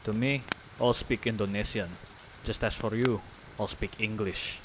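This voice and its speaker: A man's voice: fairly low pitch, steady tone, a little slow, noisy background, lightly acted. Man acting proud islander father, guarded, formal and terse, audio drama, faint rain behind.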